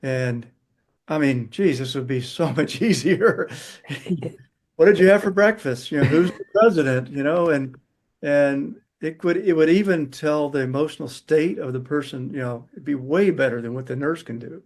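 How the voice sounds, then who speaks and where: Slightly high-voiced man, casual call host. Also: moderate pace, darkish tone. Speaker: host asking questions. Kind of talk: casual business call discussion.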